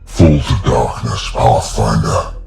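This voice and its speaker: An actor playing a menacing, grandiose alien warlord in a video game, with a pompous and gloating manner. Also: male voice, extremely low pitch, slow pace, vocal fry, echoey room, over music, heavily acted. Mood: gloating menace. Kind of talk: dramatic video game villain acting.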